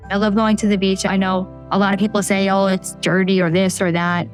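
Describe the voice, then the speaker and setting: A woman talks in a casual, candid way. Her pitch is ordinary, and soft music plays underneath. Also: flat delivery, fairly quick. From Staten Island, New York. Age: 40s. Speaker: local resident interviewee. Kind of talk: documentary interview.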